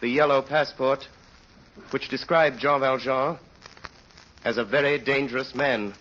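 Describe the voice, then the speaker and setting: A man narrating an old radio drama as a solemn, brooding storyteller, measured and rhetorical. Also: medium pitch, steady tone, a bit bright, a little hiss or hum, natural voice. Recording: muffled old radio recording with music.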